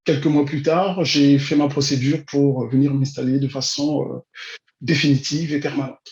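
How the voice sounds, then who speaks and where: A man, answering interview questions in a measured, hesitant way with drawn-out pauses. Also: mid-range voice, fairly even intonation, darkish tone. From Congo-Brazzaville. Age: 40s. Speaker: interview guest, immigration consultant and teacher. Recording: dull, roomy, echoing sound.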